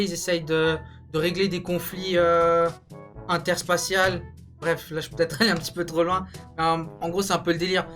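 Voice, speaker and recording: A young man chatting casually and jokingly in slangy language, like a YouTube host telling stories to a friend. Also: high-pitched. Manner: slangy, joking, animated storytelling. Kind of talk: casual banter, ranking cartoons. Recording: music behind the voice.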